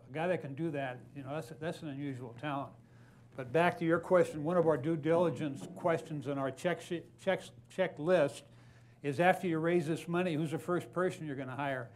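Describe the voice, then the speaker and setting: A man's voice: fairly high pitch, a little mellow, a little hiss or hum. A man speaking as a panelist in a live investor panel, rambling and correcting himself as he goes.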